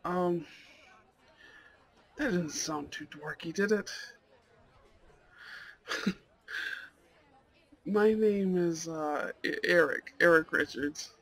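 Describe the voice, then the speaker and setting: A man playing a shy, polite, slightly dorky young goth on a blind date, in a romantic ASMR roleplay, with a husky, creaky voice. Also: on the high side, extremely slow, long pauses, heavily acted. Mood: nervous, sweet. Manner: hesitant, soft-hearted, nervous little laughs.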